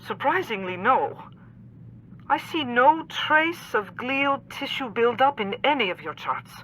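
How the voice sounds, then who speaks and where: An actress playing a composed, formal doctor who explains things in measured clinical terms in a video game cutscene. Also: female voice, on the high side, animated, fairly bright tone, a little hiss or hum, rough recording. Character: composed clinic doctor briefing an augmented patient.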